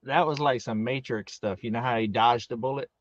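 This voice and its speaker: A man's voice: mid-range voice, steady tone, a little fast, no room sound, highs cut off. A man in his forties chatting casually as co-host of a live stream, with folksy, teasing banter. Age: 40s. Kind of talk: casual chat.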